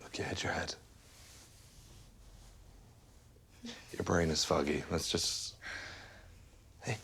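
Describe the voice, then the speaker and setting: A man playing a manipulative, dismissive boyfriend in a dark comedy film, with a husky voice and a casual, condescending way of talking. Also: rumbling low, fairly even intonation, normal speed, whispered. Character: manipulative, dismissive boyfriend, smoothly guilt-tripping.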